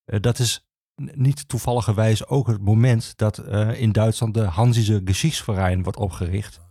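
A man explains history as a guest archivist, in halting, self-correcting phrases. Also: lowish voice, steady tone, dark tone, husky, dry recording, studio quality. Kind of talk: explanatory interview talk on history.

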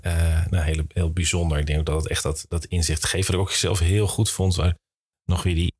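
Dutch guy hosting a podcast, low husky voice, feels his way through questions. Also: little pitch movement, fairly quick, darkish tone. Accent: Dutch (Netherlands). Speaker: podcast host, interviewer. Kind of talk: conversational interviewing.

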